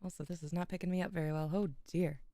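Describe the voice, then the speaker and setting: A young woman streamer, a little hoarse, chatting with viewers and then reading classic prose aloud with giggles and small stumbles. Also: lowish voice, steady tone, fast talker, mellow, dry recording. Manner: giggly, chatty, stumbles over foreign words. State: slightly rough, hoarse voice. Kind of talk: casual chat and literary reading aloud.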